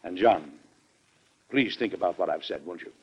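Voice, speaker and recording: An actor playing a dignified, worried American president and father in an old-time radio drama, formal and measured with some hesitation. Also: deep voice, husky. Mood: grave, regretful. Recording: dull, band-limited old radio recording.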